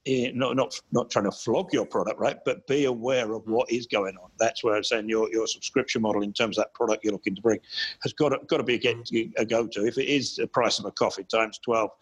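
A man's voice: lowish voice, fairly even intonation, brisk, husky, highs cut off. A man hosting a casual interview, rambling and repeating words while encouraging his guest. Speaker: interview host.

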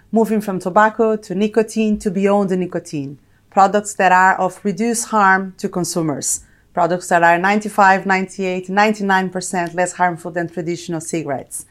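A woman's voice: mid-range voice, fairly even intonation, moderate pace. A middle-aged woman from Brazil with a Brazilian accent, explaining things reflectively as an executive guest in a corporate interview.

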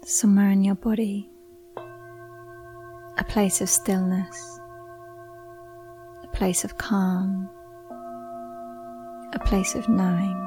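A woman leads a guided meditation in a soothing, hushed voice, leaving long pauses between short phrases. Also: medium pitch, level tone, noisy background. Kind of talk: slow guided meditation narration. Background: ringing Tibetan singing bowls.

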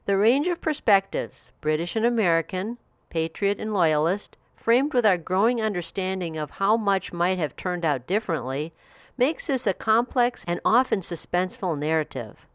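A woman's voice: mid-range voice, animated, moderate pace, dry recording. A woman hosting a review show, delivering scripted narration. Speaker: host of audiobook review podcast.

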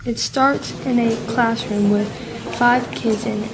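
A woman's voice: a little high, fairly even intonation, measured pace, background noise. A female narrator reading scripted scene descriptions for an amateur radio play.

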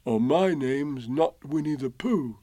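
A man's voice: mid-range voice, animated, takes it slowly, dark tone, dry recording. A man voicing a kindly, simple-witted toy bear in a storybook, speaking earnestly and solemnly. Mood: musing.

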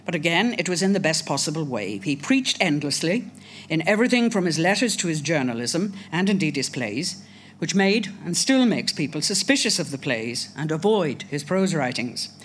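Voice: mid-range voice, very animated, moderate pace, fairly bright tone, slight background noise. A speaker from Ireland, giving a prepared talk in a wry, emphatic manner with ironic asides. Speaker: speaker on a writer. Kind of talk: prepared talk, read aloud.

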